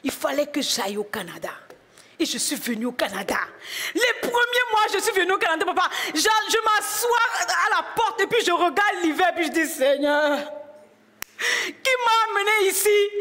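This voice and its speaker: A middle-aged woman from Benin preaching a sermon and telling her own story, moving from hushed asides to loud exclamations. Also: very high voice. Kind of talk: sermon with personal testimony.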